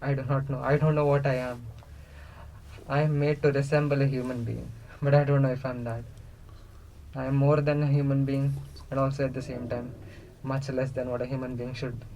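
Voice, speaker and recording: Flat-voiced otherworldly captive being played by a man. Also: medium pitch, lightly acted. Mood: solemn pleading. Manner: flat, deliberate, naive questioning. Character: captive four-dimensional being made to resemble a human, curious, yearning for freedom. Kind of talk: radio play dialogue. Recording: noisy recording with background ambience.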